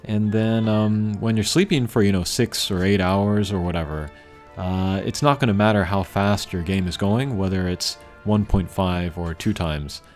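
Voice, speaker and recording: A man giving casual, unscripted gameplay commentary as a channel host, thinking aloud in a measured way. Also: on the low side, a little mellow. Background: game music.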